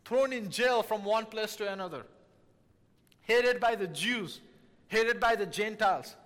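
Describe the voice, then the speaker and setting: A man's voice: high voice, measured pace, fairly bright tone, big-room sound. A man from Nepal, preaching an earnest sermon as a missionary and repeating key phrases for emphasis.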